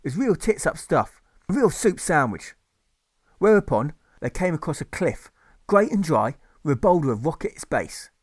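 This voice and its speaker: A man's voice: fairly high pitch, big pitch swings, moderate pace, slightly rough, no room sound, clean studio sound, pitched above the performer's own voice. A man voicing a boastful army drill sergeant telling a hearty war tale with tag questions.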